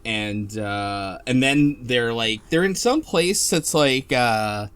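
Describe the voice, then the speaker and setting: A man's voice: mid-range voice, animated, slow pace, a bit bright, smooth. A man hosting a casual group chat about a game, rambling and easily sidetracked. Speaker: podcast host. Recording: music behind the voice. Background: music.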